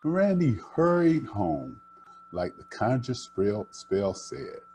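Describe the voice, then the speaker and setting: An older man reading a children's storybook aloud, with deliberate, dramatic phrasing. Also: mid-range voice, very animated, warm tone, a little hiss or hum. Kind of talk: expressive read-aloud storytelling.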